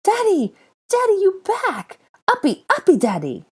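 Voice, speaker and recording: A woman voicing an excitable small boy who speaks in simple, childlike words. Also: on the low side, fast talker, breathy edge, heavily acted. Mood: delighted. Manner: childlike, squealing, simple speech.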